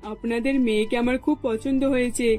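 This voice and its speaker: High-voiced eager mother-in-law played by a woman. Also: fairly even intonation, fast, a little mellow, soft background music, natural voice. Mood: decisive. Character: eager, decisive middle-aged mother-in-law matchmaking for her son. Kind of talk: scripted cartoon dialogue.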